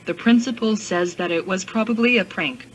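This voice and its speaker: A woman playing a TV news anchor, reading a scripted bulletin in a flat, measured way. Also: medium pitch, faint music behind, close to an everyday voice, rough recording.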